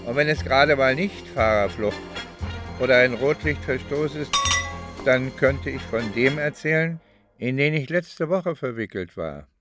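An actor playing a wry, hard-boiled private detective who narrates a radio drama in a dry, punchy way. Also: male voice, mid-range voice, lively intonation, a little slow, over music, lightly acted. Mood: wry, self-assured.

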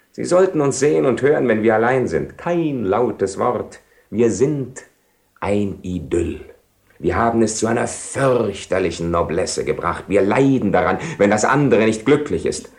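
An actor playing a trapped, bitterly resigned husband in a radio play, speaking in a brooding, rhetorical, ironic manner. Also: male voice, on the low side. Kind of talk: 1970 radio play acting.

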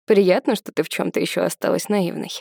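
A woman reading a calm gallant businessman. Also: lowish voice, fairly quick, gravelly, husky, no echo, clean studio sound, heavily acted. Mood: approving. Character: composed gallant middle-aged businessman.

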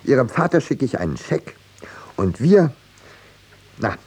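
An actor playing an urbane, dryly ironic painter in a radio play, polite, hesitant and deadpan. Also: male voice, medium pitch, unhurried, breathy edge. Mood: persuasive. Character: urbane, dryly ironic male painter telling his tale. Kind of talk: 1950s German radio play acting and narration.

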